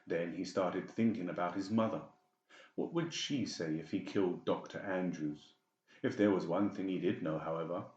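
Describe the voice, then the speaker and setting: A man reads dramatic story narration for an audiobook. His voice is very low. The recording is roomy and a bit muffled. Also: a little mellow. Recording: roomy, slightly dull sound.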